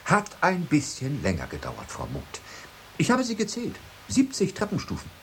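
A man acting an amorous, gruff but gallant ship's captain in a German radio drama. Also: a little high, wildly swooping pitch, normal speed, a bit bright, exaggerated voice. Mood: polite. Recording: noisy radio drama with sound effects.

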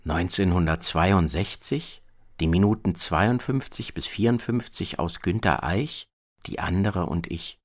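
A man's voice: deep voice, measured pace. A man presenting in a scripted documentary narration style, with a dry, sardonic manner. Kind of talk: scripted documentary narration about radio plays. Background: music.

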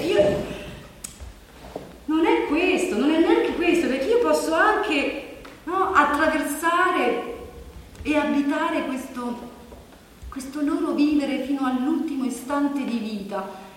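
An actress playing a troubled woman brooding on economic despair, in a halting, repetitive, insistent theatrical monologue. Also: high-pitched, expressive. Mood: agitated, searching. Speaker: stage actress. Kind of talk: theatrical monologue broadcast on radio. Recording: very echoey hall, distant mic, faint noise.

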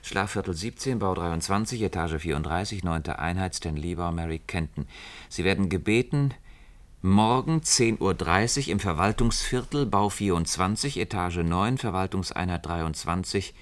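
Casting: man for low-voiced, resigned husband in sci-fi radio play; cautious, tired, placating conformist. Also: normal speed, natural voice. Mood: reading officially. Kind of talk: German radio play acting.

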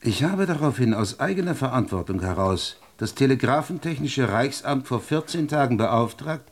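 A man's voice: medium pitch, animated, moderate pace. Male, mid register: 1920s state secretary for radio drama, formal, persuasive, sure of himself. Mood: insistent, confident. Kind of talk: German radio drama acting.

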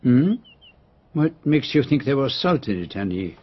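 An actor playing a courteous, shrewd detective with a French accent in a radio drama, formal and measured as he probes and gently teases. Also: mid-range voice, very animated, breathy edge. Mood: probing. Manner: formal, polite, measured, probing. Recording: dull, lo-fi radio drama recording.

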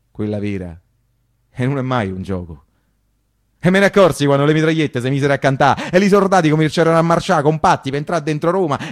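A man with a Roman accent performing a theatrical first-person monologue as a working-class storyteller recalling boyhood, emphatic and building through rhythmic repetitions. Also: fairly high pitch. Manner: emphatic, repeats phrases in rhythmic build-ups. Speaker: storyteller actor.

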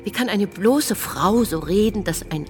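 An actress plays a society salon hostess with a mid-pitched voice. She is gossipy and disillusioned, speaking in wry, sighing radio-drama dialogue over clearly audible music. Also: lively intonation, a little slow, darkish tone, natural voice. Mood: wry, probing. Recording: music playing behind the voice.

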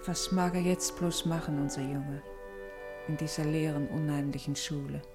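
A woman's voice: deep voice, little pitch movement, moderate pace, over music. An actress playing a tender mother who frets over her son, in a German radio play. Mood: worried, sad.

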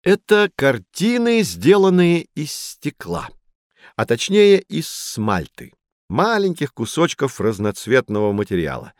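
A man playing a patient, earnest guide who explains things to children with deliberate emphasis in a scripted educational audio drama. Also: medium pitch, wildly swooping pitch, no echo, clean studio sound. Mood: explaining, patient.

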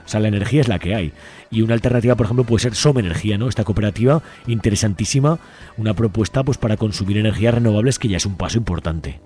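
A man's voice: fairly low pitch, racing, mellow. A man explaining things in a radio interview, often ending statements with a questioning tag. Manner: tags statements with questioning 'no?'. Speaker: environmental activist guest.

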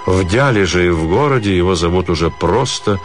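A man reading a literary story theatrically, with expressive, measured phrasing and dramatic pauses. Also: deep voice. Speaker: actor reading a short story. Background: music.

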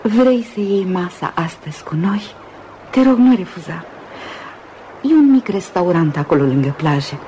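An actress playing a gentle, earnest married lady on holiday in a radio play, speaking politely. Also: fairly low pitch, expressive, slight background noise, close to an everyday voice. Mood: warmly insistent. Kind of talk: Romanian radio theatre acting.

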